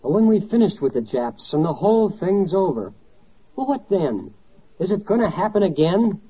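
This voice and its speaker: An actor playing a plain-spoken, doubting American soldier in 1940s radio style. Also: male voice, sits high, big pitch swings, moderate pace, slightly rough, heavily acted. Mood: questioning. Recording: dull old 1940s radio recording.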